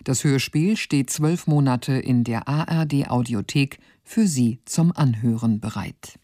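A continuity announcer delivers a scripted programme notice for radio. The voice is mellow and relaxed, recorded close and dry in a studio. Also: medium pitch, unhurried, dry recording.

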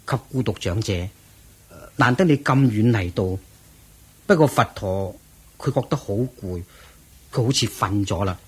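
A man's voice: fairly low pitch, lively intonation, a little slow, pauses often, husky, exaggerated voice. An actor playing a reverent disciple monk in a radio drama, earnest, respectful and protective of his master. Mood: attentive, protective. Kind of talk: Cantonese radio drama acting.

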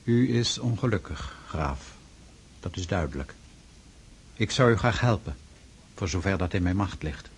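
An actor playing a stern, pious Russian freemason in a radio drama, speaking formally and insistently with a husky voice. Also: male voice, sits low, expressive, a little hiss or hum. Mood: grave, courteous. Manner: formal, measured, preaching, insistent.

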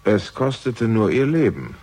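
An actor playing an unflappable older father in a German radio crime drama, with a gravelly voice and a dry, measured, ironic manner. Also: on the low side, big pitch swings, a little mellow. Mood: calm, sardonic.

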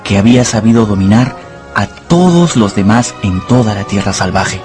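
A man's voice: fairly low pitch, moderate pace, a little mellow. A man narrating a dramatised story for radio from a script. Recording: music under the voice.

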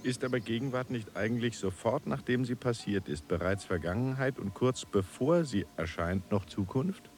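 An actor playing an eager, questioning human moon traveller in a German radio drama, theatrical and given to hesitant musing. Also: male voice, medium pitch, animated, moderate pace, few pauses, a little mellow, lightly acted. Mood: puzzled, probing.

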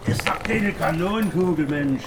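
An actor playing a grumbling, impatient servant on a coach in a radio play, talking colloquially. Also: male voice, fairly high pitch, normal speed, very noisy, lightly acted. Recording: loud music and sounds over voice.

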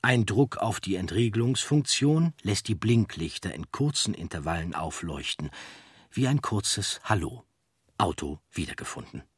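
A man with a fairly low, husky voice narrates a documentary script. He explains things in measured phrases, and the sound is very dry, without room echo. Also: lively intonation. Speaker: audiobook narrator.

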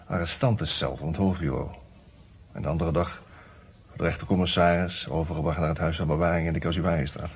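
A man's voice: deep voice, slight background noise. A man acting a polite lawyer who tells his own story in an old radio drama, in a wry, reflective and formal manner. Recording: muffled, band-limited old radio recording.

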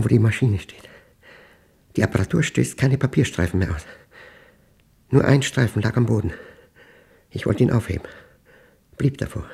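An actor playing an obsessed, paranoid man in a radio drama monologue, speaking intensely in halting, clipped fragments as he battles a machine he fears. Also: mid-range voice, creaky, dark tone, breathy edge, full character voice. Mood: tense, grim.

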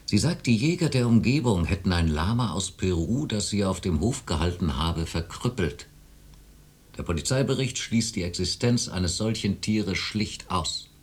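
A man playing a self-righteous, domineering chief psychiatrist in a radio drama, authoritative and dismissive, with a husky voice. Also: sits low, expressive, normal speed. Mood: irritated, insistent.

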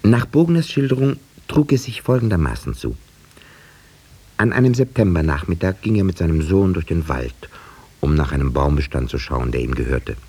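A deep, lively-pitched male narrator, a village schoolteacher in a fifties radio play. He sets out every detail with care and dry irony, in a mellow, slightly husky voice. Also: full character voice. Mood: musing. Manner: measured, circumstantial, dryly ironic, precise. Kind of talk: 1950s German radio drama narration.

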